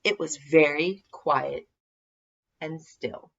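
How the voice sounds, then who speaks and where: Lady reading a kids story out loud, expressive, lowish voice, slightly muffled audio. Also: drawn-out words, gravelly. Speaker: storybook reader. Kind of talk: expressive scripted children's story narration.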